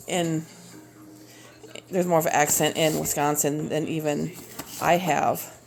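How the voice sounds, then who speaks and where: A woman in her thirties with a Minnesota accent, chatting casually and a bit haltingly while she chops vegetables in her home kitchen, recorded on a propped-up phone. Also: low-pitched, darkish tone. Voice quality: rambling, hesitant with many fillers. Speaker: homeschooling mom and cooking vlogger. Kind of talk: casual cooking video. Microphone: phone propped up on the counter.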